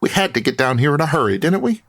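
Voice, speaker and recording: A folksy hillbilly, lively delivery. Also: male voice, on the high side, fast talker, no room sound, studio quality, full character voice. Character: folksy hillbilly sidekick, excitable.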